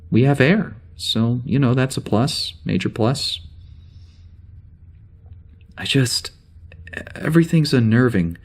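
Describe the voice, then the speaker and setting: Man acting an anxious, halting spaceship officer. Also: medium pitch, a little mellow, lightly acted. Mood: wry unease, then rattled. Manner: halting, hesitant, self-correcting, wry. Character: anxious, rambling male spaceship security officer recording a log. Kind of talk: audio drama monologue, spoken log.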